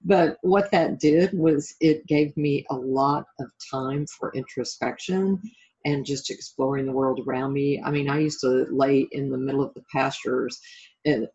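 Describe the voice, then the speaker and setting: Husky low-fidelity middle-aged American woman. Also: darkish tone, lo-fi sound. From southern United States (Florida). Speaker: interview guest, life coach. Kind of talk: reflective interview talk about own life.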